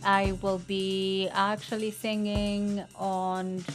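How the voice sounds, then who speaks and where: A middle-aged woman from El Salvador, a singer-songwriter talking earnestly in a casual conversation, with many halting fillers. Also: mid-range voice, completely flat delivery, faint music behind.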